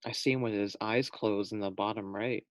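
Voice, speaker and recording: A man's voice: on the low side, moderate pace, no echo. Man reading aloud to young children, dry sound. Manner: expressive, addresses young listeners directly. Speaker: teacher reading a storybook aloud. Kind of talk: children's read-aloud with questions to pupils.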